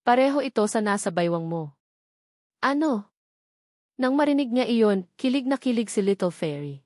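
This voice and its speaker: A woman's voice: fairly high pitch, expressive, normal speed, a little mellow, no echo, studio quality. A woman reading scripted fiction narration as an audiobook narrator.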